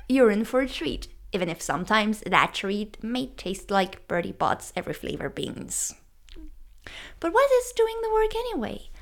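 A woman's voice: fairly high pitch, creaky. A woman with a French accent, whose first language is French, hosting her own podcast in an upbeat, playful way and asking her listeners questions. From France. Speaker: podcast host. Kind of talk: scripted solo podcast talk.

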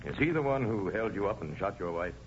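An American actor playing a terse, authoritative police lieutenant in an old-time radio crime drama. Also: male voice, lowish voice, expressive, fairly quick, lightly acted. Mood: businesslike. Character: brisk, no-nonsense male police lieutenant leading a murder investigation. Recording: muffled lo-fi old radio recording, faint noise.

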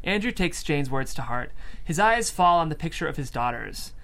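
Male stage-direction reader, fairly high, gravelly edge, scripted narration. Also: big pitch swings, normal speed, fairly bright tone. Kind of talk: scripted screenplay narration at a table read.

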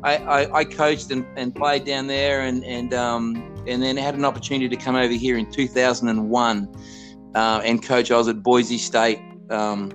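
A man's voice: medium pitch, little pitch movement, normal speed, a bit bright, faint music behind. A man in his fifties from Australia with an Australian accent, a college basketball head coach telling his story in a loose, self-correcting way during a casual interview.

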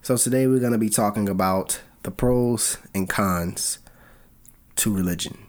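A man co-hosting a casual podcast, his voice sitting low and a touch raspy because he has a cold. He talks earnestly and loosely, with plenty of ums and restarts. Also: unhurried, darkish tone. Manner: earnest, loose, with many fillers. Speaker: podcast co-host.

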